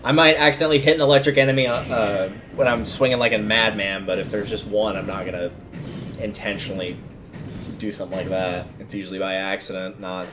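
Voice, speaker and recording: Low-voiced man casually commentating a game. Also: fairly even intonation, fairly quick, noisy background. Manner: rambling, thinking aloud. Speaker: livestreamer playing a video game. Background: video game music.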